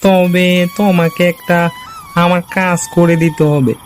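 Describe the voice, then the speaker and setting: A man's voice: high-pitched, steady tone, unhurried, faint music behind. A man voicing a cheeky, fearless schoolboy in a cartoon, talking in a defiant, dismissive way. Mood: bargaining. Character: cheeky fearless schoolboy who is bad at maths. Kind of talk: cartoon character dialogue.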